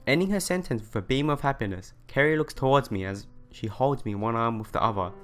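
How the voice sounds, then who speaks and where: A man's voice: medium pitch, expressive, a little fast, a bit bright. A man reading a visual novel aloud and adding casual commentary, as a let's player does. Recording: music playing behind the voice. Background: game music.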